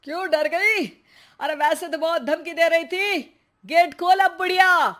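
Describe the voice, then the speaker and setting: An actor playing a menacing, mocking male burglar in a comic cartoon story, loud and taunting. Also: very high voice, unhurried. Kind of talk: comic animated story villain.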